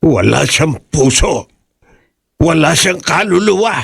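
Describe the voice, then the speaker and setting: Man playing a husky, grave village father. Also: a little high, sing-song, takes it slowly, no echo, studio quality, heavily acted. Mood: grim dread. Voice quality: husky, creaky. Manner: grave, emphatic. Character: grave, fearless village father standing against evil. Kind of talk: horror radio drama acting.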